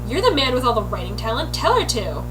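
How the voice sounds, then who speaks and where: A woman voicing an excitable teenage girl in a storybook reading, gushing and shrill with drawn-out exclamations. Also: over music. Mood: excited.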